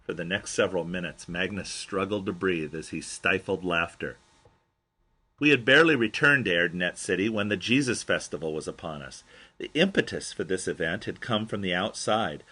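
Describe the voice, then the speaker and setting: Guy reading his own memoir audiobook, husky but bright and punchy, expressive storyteller. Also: low-pitched, normal speed. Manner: expressive storyteller, acts out quoted dialogue. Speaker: author reading own book aloud.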